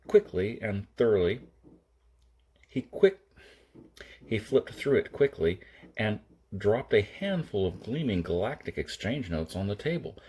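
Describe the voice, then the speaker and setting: Male storyteller for audiobook fiction, husky, with highly animated, dramatic intonation. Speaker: audiobook narrator.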